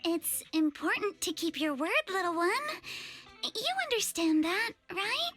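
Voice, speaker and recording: Gentle, unhurried elegant lady. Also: very high voice, animated, faint music behind, heavily acted. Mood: gentle, coaxing. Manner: polite, patient, coaxing. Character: gentle, elegant lady coaxing a child, anime game. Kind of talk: video game voice acting.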